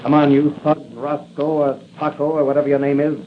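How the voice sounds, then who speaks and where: An actor playing a cool, brisk masked crime-fighting hero in 1940s radio style. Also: male voice, a little high, flat delivery, close to an everyday voice. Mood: fierce, triumphant. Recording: muffled noisy 1940 radio recording.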